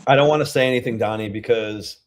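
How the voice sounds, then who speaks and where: A man's voice: medium pitch, fast talker, a little hiss or hum. Chatty man with a Rhode Island accent. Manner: chatty, rambling, reads out viewer comments. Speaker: beer review vlogger. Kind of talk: casual livestream chat.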